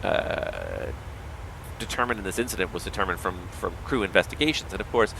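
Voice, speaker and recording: A man's voice: deep voice, wildly swooping pitch, fairly quick, gravelly, fairly bright tone, a little hiss or hum, poor audio. A man with a strained, tiring voice, a pilot streaming a livestream and explaining things at length with firm opinions. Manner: explanatory, opinionated, talks in long running thoughts. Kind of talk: casual livestream commentary.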